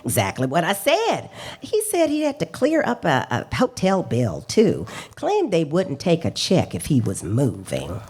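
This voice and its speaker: A feisty, opinionated female witness acted by a woman in a radio police play. Her pitch leaps about dramatically and has a rough, husky edge. She talks in emphatic, chatty, clipped bursts. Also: huge pitch swings, measured pace, darkish tone, exaggerated voice. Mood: indignant. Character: feisty, talkative female check-forgery witness, indignant and opinionated.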